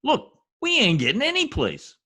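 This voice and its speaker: A man's voice: very high voice, wildly swooping pitch, moderate pace, sharp tone, husky, exaggerated voice. A man playing a brash, folksy census taker in old-time radio comedy style, slangy, blustering and easily exasperated.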